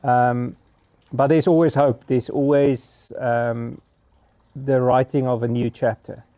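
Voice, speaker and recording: A South African man in his fifties, Afrikaans his first language and clear in his accent, talks to camera in a sincere, halting way with many pauses. His voice is mellow and warm. The sound is close and dry, with no room echo. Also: mid-range voice, flat delivery. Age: 50s. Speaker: vlogger. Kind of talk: reflective personal monologue.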